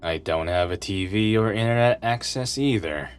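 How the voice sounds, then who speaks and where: A man acts out a young reclusive private detective in an anime dub. His voice is very low and lethargic, with a gloomy deadpan delivery. Faint music plays behind him. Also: normal speed, clear, resonant tone, close to an everyday voice. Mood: gloomy, listless.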